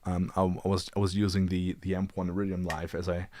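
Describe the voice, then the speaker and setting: A man's voice: sits low, flat delivery, brisk, dark tone, slight background noise. A man in his late twenties talking casually as a livestream host, in a hesitant, rambling way with repeated words. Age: late 20s.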